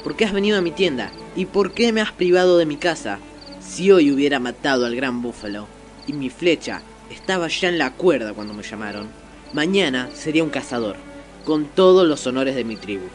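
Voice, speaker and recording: A man's voice: on the high side, expressive, a little fast, close to an everyday voice. An actor playing a proud, earnest young boy hunter in a fantasy radio drama. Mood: indignant pride. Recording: radio play with faint music.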